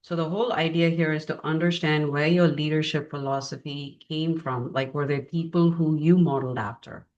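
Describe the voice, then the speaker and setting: A woman's voice: low-pitched, steady tone, moderate pace, few pauses, a little mellow, dull audio. A woman in her forties hosting a podcast, introducing her guest admiringly with some fillers. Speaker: podcast host.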